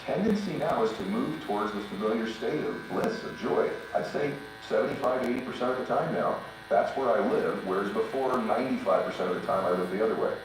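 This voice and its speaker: The deep-voiced man you would hear as a motivational podcast guest, emphatically telling his life lessons, heard from across a very reverberant room. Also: a little fast. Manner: emphatic, repeats phrases for effect. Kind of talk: motivational interview talk with personal stories. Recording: roomy echo, distant mic, steady electric buzz and hum.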